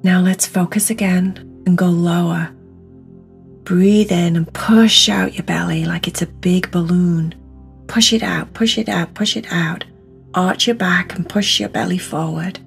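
A woman leads a guided meditation at a very unhurried pace, with a lowish voice that often frays into creak. She is soothing and encouraging, leaning on repeated words to give instructions weight. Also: steady tone. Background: music.

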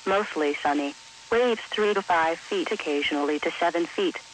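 A woman's voice: fairly low pitch, moderate pace, poor audio. A woman's synthetic, evenly phrased voice announcing an automated marine forecast on weather radio. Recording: hissy weather radio broadcast.

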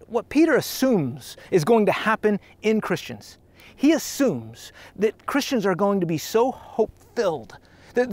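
Brief: man, 30s, pastor, outdoor sermon, higher-pitched, dry close-miked, earnest conversational delivery. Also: no echo. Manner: earnest, conversational, with restarts and lively emphasis. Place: outdoors on a dirt trail.

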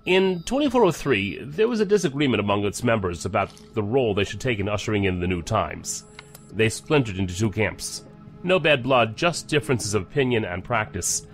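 An actor playing a measured, knowledgeable investigator in an audio drama, explaining things deliberately. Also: male voice, lowish voice, sing-song, faint music behind. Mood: measured, explanatory. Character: male investigator briefing colleagues on a cult, measured, knowledgeable.

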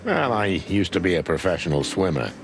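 An actor playing a world-weary older rooster detective in a film noir game, with a dry, terse, deadpan delivery. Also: male voice, sits low, a little fast. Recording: music behind the voice.

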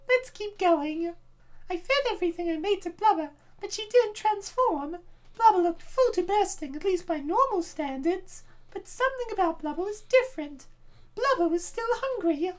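A woman voicing a gentle motherly princess who tenderly narrates her story in a comic dub. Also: extremely high pitch.

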